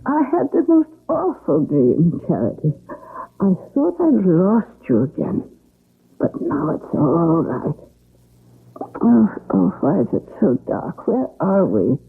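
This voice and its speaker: A muffled old radio recording carries a frail, doting old grandmother. She speaks very slowly in a weak, husky, breathy voice that swings up and down, tender and pleading in 1970s radio drama style. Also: lowish voice, wildly swooping pitch, full character voice. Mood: weak, bewildered. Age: older.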